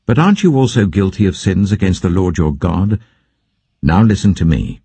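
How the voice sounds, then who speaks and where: A man's voice: deep voice, animated, normal speed, dark tone, slightly rough, no room sound, full character voice. A British man reading the part of a stern prophet rebuking an army in a scripture audiobook, grave, commanding and accusatory.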